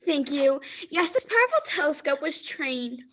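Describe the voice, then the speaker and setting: A woman's voice: sits high, very animated, moderate pace, fairly bright tone. A young woman playing a flustered, clueless astronomer. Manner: flustered, losing her lines, giggly. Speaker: student actor. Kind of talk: stage radio-play acting.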